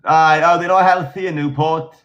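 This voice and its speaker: A man's voice: fairly high pitch, fairly even intonation, moderate pace, fairly bright tone. A man from South Wales with a Welsh accent, calling into a live stream and chatting in a laid-back, slangy way. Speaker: caller. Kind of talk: casual chat. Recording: dull lo-fi call with faint music.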